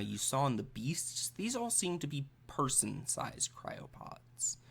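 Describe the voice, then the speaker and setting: A man improvising narration as a tabletop game master, halting and suspenseful, with trailing pauses. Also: mid-range voice, gravelly, a bit bright.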